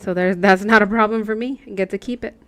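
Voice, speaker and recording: A woman's voice: medium pitch, steady tone, fast talker, fairly bright tone, no room sound. A Mexican American woman whose first language is Spanish, chatting casually with her live chat while she stitches and laughing often. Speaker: cross-stitch livestream host.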